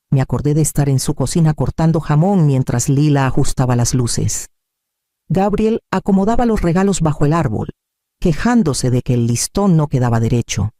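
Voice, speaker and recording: Mellow, dark-toned man narrating a story. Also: on the high side, dry recording, clean studio sound. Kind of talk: scripted dramatic narration, first-person story.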